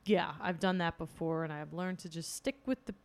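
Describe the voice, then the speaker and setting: A woman's voice: fairly low pitch, normal speed. Chatty woman co-hosting a casual podcast. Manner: chatty, playful, reads quiz questions aloud. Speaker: podcast co-host. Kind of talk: casual chat.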